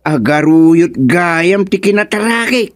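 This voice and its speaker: A man playing a vain, chuckling old father in an Ilocano radio comedy, with an exclaiming, drawn-out delivery. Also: sits high, expressive, no room sound. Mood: boastful.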